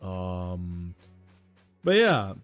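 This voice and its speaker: Young dude on a game stream, loud voice, swears a lot, chats with viewers, faint music behind. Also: male voice, sits low, sing-song, very slow. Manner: chatty, swears freely, greets chat by name. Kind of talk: casual gaming livestream chat.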